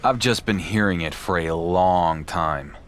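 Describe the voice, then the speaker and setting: An actor playing a proud, sarcastic wrestling champion with a dry manner in a video game cutscene. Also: male voice, low-pitched, a little slow, fairly bright tone. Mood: defiant. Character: wry, proud male pro wrestling champion.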